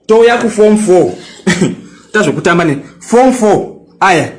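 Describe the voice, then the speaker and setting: High-voiced young Zimbabwean man, taunting. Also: expressive, takes it slowly, reverberant. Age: 20s. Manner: boastful, taunting and mocking; mixes English into local-language talk. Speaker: social media personality. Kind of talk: angry response rant.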